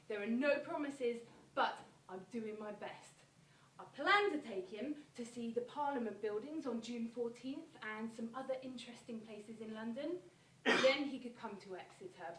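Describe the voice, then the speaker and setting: A woman's voice: on the high side, close to an everyday voice. A woman playing an earnest, grandiose promoter who boasts of a famous friend, in a stage monologue. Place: theatre performance space, recorded from far off. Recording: distant, echoey, noisy stage recording.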